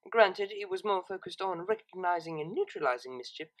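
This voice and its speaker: A woman's voice: fairly low pitch, lively intonation, brisk, natural voice. A woman voicing a refined, naive young cellist pony in an audio story, with a proper, tentative manner. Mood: bookish, flustered. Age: young adult.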